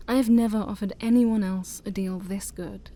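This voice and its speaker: A woman voicing a shy, haughty, ancient demon princess in a soft-spoken ASMR roleplay, breathy and often near a whisper, with formal, theatrical wording and awkward hesitations. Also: mid-range voice, fairly even intonation, normal speed, natural voice. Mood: coolly bargaining.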